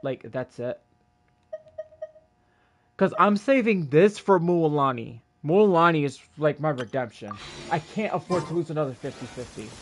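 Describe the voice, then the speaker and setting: A man chatting casually as a game livestreamer, rambling in a halting way and repeating himself. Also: fairly high pitch, animated.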